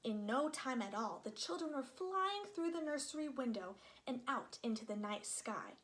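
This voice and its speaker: High, lively woman reading a storybook. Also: normal speed. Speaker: storybook reader. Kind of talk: expressive read-aloud children's narration.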